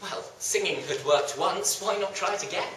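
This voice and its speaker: A storyteller giving theatrical scripted narration, with dramatic, drawn-out emphasis. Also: mid-range voice, a bit bright. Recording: echoey room, distant mic, faint noise.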